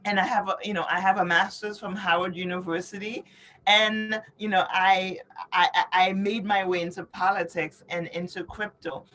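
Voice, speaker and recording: A woman's voice: mid-range voice, flat delivery, moderate pace, a bit bright. A middle-aged woman from New York City, born in Haiti, giving earnest, explanatory interview answers and often checking in with "you know" and "right?". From born in Haiti, raised in New York City. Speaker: interview guest, author and advocate.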